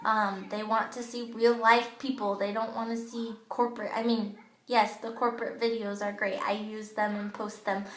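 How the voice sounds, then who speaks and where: A woman's voice: mid-range voice, flat delivery, normal speed, a little hiss or hum. A woman with a childish-sounding voice gives a chatty, casual training talk to her sales team, full of ums, recorded on an old smartphone in her bathroom. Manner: chatty, with many ums and you-knows. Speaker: direct-sales makeup team leader. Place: home bathroom. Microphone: old smartphone camera. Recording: echoey bathroom on a phone.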